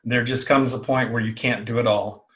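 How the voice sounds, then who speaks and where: Middle-aged man, halting casual talker. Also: medium pitch, steady tone, a bit bright. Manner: halting, repeats words and phrases. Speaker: interview guest, online business owner. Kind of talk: casual interview talk.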